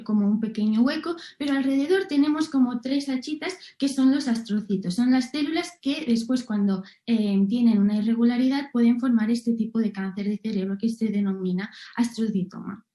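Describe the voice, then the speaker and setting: Young woman, student researcher, slightly high mellow voice, formal explanatory delivery, echoey video call. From Barcelona, Spain. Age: young adult. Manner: formal, didactic, with rhetorical questions. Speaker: student researcher presenting a project. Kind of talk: prepared academic presentation. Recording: roomy, echoing video call audio.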